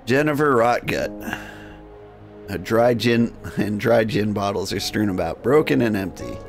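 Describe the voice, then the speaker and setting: A man hosting a game livestream, switching between jokey, rambling chat and reading game text aloud in a gravelly voice. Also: lowish voice, expressive, measured pace. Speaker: game streamer and host. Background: music.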